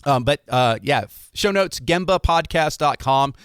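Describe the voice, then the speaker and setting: A man's voice: a little high, unhurried, clean tone, no echo, studio quality. A man from Ohio hosting a podcast interview, talking casually with lots of fillers and restarts. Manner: relaxed, many fillers and restarts. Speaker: podcast host.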